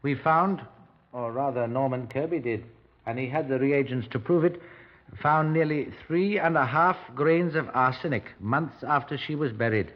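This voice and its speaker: Casting: man as avuncular country doctor, grave and certain, medium pitch, dull old radio recording. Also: normal speed, lightly acted. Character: grave, avuncular village doctor reporting findings. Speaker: actor playing a doctor. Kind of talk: 1950s radio drama acting.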